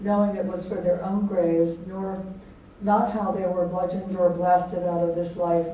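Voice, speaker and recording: An older woman, an author speaking to an audience in a halting, reflective manner. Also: lowish voice, dark tone. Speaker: author and poet giving a talk. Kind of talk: informal talk and poetry reading to an audience. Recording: very echoey room, distant mic, faint noise.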